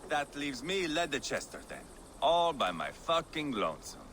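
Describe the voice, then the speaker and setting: Game warlord: older, cynical, grumbling Viking; animated, hard-edged, blunt. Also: male voice, on the high side, normal speed, slight background noise. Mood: sullen. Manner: blunt, foul-mouthed, forceful. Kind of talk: video game cutscene acting.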